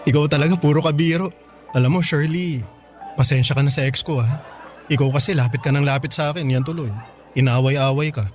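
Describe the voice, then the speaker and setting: Guy acting nice but blunt young filipino man, radio drama, lightish high voice, gentle, faint music. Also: normal speed, pauses often, close to an everyday voice. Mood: teasing, casual. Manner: gentle, apologetic, candid. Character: kind but frank young man rejecting an admirer.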